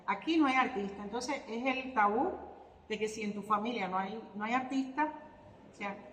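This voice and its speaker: A woman's voice: on the high side, fairly bright tone. Cuban woman in an echoey hall. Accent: Cuban Spanish. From Cuba. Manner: reflective, with hesitant fillers and restarts. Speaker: guitarist and artist interviewee. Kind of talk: conversational interview answers. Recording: echoey hall with faint ambient noise.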